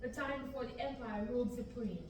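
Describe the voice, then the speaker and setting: A woman's voice: a little high, steady tone, fairly quick, close to an everyday voice. Young woman reading a stern farmer uncle. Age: young adult. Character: stern middle-aged male farmer uncle. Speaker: student actor at a table reading. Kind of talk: reading Shakespearean verse aloud. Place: large classroom, indoors. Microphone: far camera. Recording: echoey room, from far off, background hiss.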